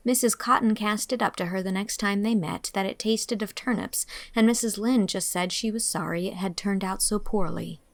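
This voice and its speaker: A woman voicing an earnest, dreamy young woman in a storybook, with an expressive, gently scolding manner. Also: medium pitch, normal speed, hardly any pauses, dry recording, lightly acted. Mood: indignant, animated. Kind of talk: audiobook character acting.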